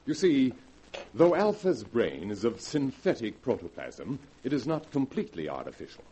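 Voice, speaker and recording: A cold, scheming scientist. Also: male voice, mid-range voice, very animated, normal speed, mellow, husky, low-quality recording. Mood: matter-of-fact. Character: calm, scheming male scientist, cold and persuasive. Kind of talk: 1940s radio acting.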